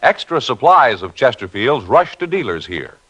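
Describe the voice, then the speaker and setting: A man announcing a 1950s radio crime show and its sponsor in a grave, measured, formal manner. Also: medium pitch, very animated, fairly bright tone, slightly rough, lo-fi sound.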